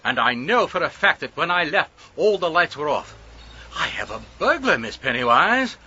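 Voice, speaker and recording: A scheming gentleman employer with a husky edge and very animated intonation. He is wordy, genteel and quietly commanding. The radio drama recording sounds slightly dull. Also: fairly high pitch, normal speed, full character voice. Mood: urgent, confident. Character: suave scheming male employer, widowed, politely commanding.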